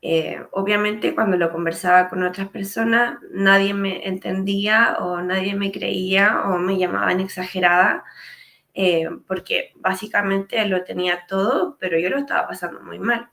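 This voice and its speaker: A woman in her late thirties with a Chilean accent, telling her personal story in a measured, reflective way with frequent hesitations. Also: medium pitch, vocal fry, fairly bright tone. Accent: Chilean Spanish. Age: late 30s. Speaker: speaker sharing her story. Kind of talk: personal testimony talk.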